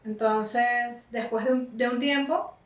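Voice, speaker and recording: A Venezuelan woman in her thirties, a music teacher telling her story in an unhurried way, with frequent "este" fillers. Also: on the high side, steady tone. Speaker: guest, violist and music teacher. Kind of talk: relaxed conversational storytelling. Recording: roomy echo, distant mic, faint music.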